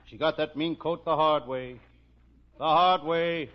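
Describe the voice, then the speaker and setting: An American man playing a deadpan hard-boiled private detective, narrating a 1940s radio parody. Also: on the high side, a little slow, close to an everyday voice. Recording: noisy, muffled 1940s radio recording.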